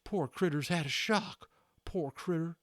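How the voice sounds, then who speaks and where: A man's voice: fairly high pitch, a little slow, pitched above the performer's own voice, close to an everyday voice. A man voicing a folksy cowboy, drawling and exclaiming colorfully in a storybook. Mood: pitying. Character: rough, folksy old-West cowboy, loud and good-hearted.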